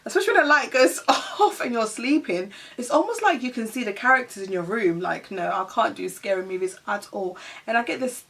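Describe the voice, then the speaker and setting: A woman's voice: fairly high pitch, animated, brisk, few pauses, a bit bright. A woman from Ghana chatting casually as a vlogger, rambling with lots of "like" and "you know". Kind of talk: casual get-to-know-me chat. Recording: voice a bit distant from the mic.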